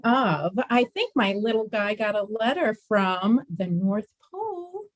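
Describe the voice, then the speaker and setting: A woman's voice: medium pitch, animated, measured pace, dull audio. A woman playing a coddling, sweetly placating mother of a grown son in a comedy table read. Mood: coaxing. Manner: sweetly coaxing, protective.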